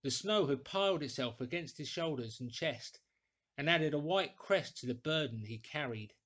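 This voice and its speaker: A man reading a classic story aloud in a deliberate, theatrical, clearly articulated way. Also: on the high side, expressive, fairly bright tone. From England. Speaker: audiobook reader, actor. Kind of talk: dramatic scripted storytelling.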